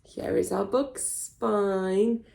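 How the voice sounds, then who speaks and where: A woman hosting a children's story time, reading aloud in an animated, expressive, theatrical way for very young listeners. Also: medium pitch, extremely slow, creaky. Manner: expressive, theatrical, with gasps and whispers. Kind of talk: animated read-aloud for toddlers.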